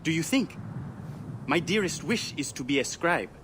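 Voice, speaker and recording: A man's voice: a little high, expressive, normal speed, natural voice. Man playing an earnest young royal son, noisy backdrop. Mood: earnest, wistful. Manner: formal, poetic. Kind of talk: dramatic game acting.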